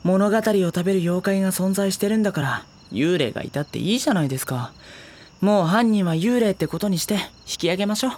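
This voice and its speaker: A woman voicing a polite, reluctant high school boy in an anime audio drama, deadpan and sardonic. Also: quick pace, a little hiss or hum, lightly acted. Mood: weary, polite. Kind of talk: Japanese anime audio drama acting and narration.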